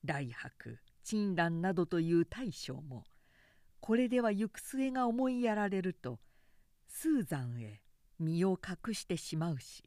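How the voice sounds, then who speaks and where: A woman narrator reading a literary work aloud in measured, precise, announcer-like phrasing. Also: fairly low pitch, very animated, warm tone, dry recording, clean studio sound.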